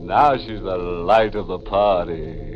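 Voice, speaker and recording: A man's voice: sits low, slow pace, heavy background noise. An actor playing a gleefully morbid horror host in 1940s radio style, sly and mock-genial as he drawls. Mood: gleefully macabre. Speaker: horror show host and narrator. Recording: muffled old 1940s radio recording.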